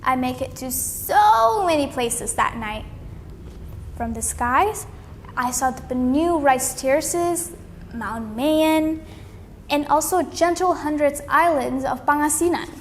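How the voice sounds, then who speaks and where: High-voiced young woman reading slowly. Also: expressive, big-room sound, slight background noise. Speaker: read-aloud competition contestant. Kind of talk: expressive storybook read-aloud.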